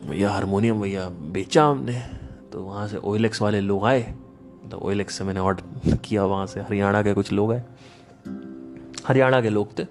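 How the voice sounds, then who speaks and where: A man hosting a podcast, giving a casual spiritual talk in an emphatic, animated storytelling manner. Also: lowish voice, moderate pace, faint music behind. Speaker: podcast host.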